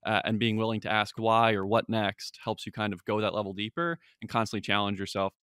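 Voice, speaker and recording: A young man working as an analyst, answering interview questions with steady, earnest explanations. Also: lowish voice, fairly quick, clear, resonant tone, no room sound, clean studio sound.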